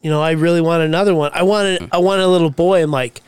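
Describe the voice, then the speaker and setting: Joking man in his forties, high voice. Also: fairly even intonation, quick pace, crowd noise. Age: 40s. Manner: laughing, joking, profane banter. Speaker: podcast co-host. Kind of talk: casual podcast chat.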